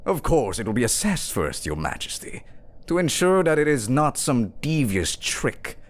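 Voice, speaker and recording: An actor playing a scheming duke in a fantasy audio drama, with a pompous, theatrical, taunting delivery. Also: male voice, medium pitch, sing-song, moderate pace, natural voice. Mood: coldly urging. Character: scheming, sneering duke, a smooth-tongued villain.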